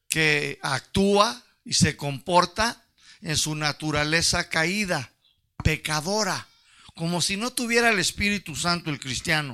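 A man's voice: high voice, bright, forceful tone, clean tone. A Chicano man with a Chicano Spanish accent preaching to his church, folksy and asking the congregation questions. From Mexican-American. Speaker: pastor. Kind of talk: sermon.

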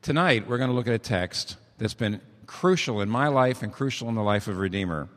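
A hoarse man preaching a sermon as pastor, talking conversationally with emphatic build-ups. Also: mid-range voice, animated, fairly quick, a bit bright. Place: church. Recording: long reverb of a large hall.

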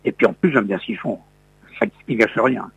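A man's voice: fairly low pitch, brisk, creaky, breathy edge, dull audio. An older man from Lorraine, a radio host, reminiscing in an interview with many hesitant fillers and restarts. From Lorraine, France.

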